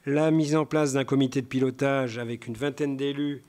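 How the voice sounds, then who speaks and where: Halting man presenting a council report. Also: mid-range voice, fairly even intonation, a little mellow. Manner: halting, hesitant delivery with frequent searching for words. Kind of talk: formal council presentation, semi-improvised from slides.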